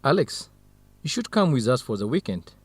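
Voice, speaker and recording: A man's voice: medium pitch, very animated, moderate pace, husky, no echo. A man acting a friendly, inquisitive young man in a radio drama, with an encouraging way of talking.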